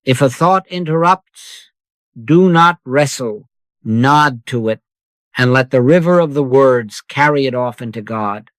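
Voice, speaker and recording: Soft-spoken man guiding a prayer for sleep. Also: mid-range voice, no room sound. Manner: soft, slow and unhurried, with long pauses. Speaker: meditation guide.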